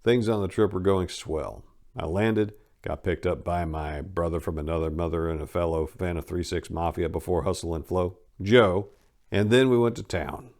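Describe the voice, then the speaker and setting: Low-voiced man reading a story dramatically. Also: normal speed, a little mellow. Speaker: host narrating a dramatic book reading. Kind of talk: dramatic first-person audiobook reading.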